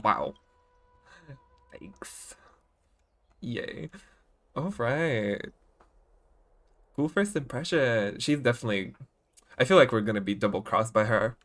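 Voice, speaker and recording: A man's voice: mid-range voice, expressive, slow, long pauses, fairly bright tone. A man streaming a game, giving casual, offhand commentary as he muses aloud.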